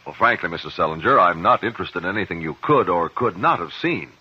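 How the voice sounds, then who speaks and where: A man playing a sharp, probing courtroom investigator in 1950s radio drama, formal and insistent. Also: sits low, expressive, fast talker, husky. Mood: measured, formal. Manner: insistent, formal cross-examining. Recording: muffled old radio recording.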